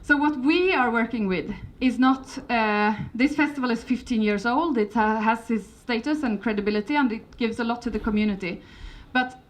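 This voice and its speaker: A woman's voice: on the high side, normal speed, hardly any pauses. Swedish-accented woman giving a conference talk. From Sweden. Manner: hesitant, many fillers and restarts. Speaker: conference speaker. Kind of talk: conference presentation.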